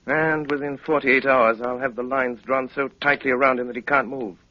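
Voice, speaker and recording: An actor playing a brilliant, self-assured English detective in an urbane, deliberate, theatrical 1930s radio style. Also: male voice, mid-range voice, steady tone, close to an everyday voice. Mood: determined. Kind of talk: 1930s radio drama acting. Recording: dull, noisy 1930s radio recording.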